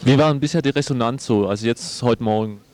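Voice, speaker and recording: A man's voice: medium pitch, quick pace, darkish tone. A man working as a radio presenter, interviewing and announcing.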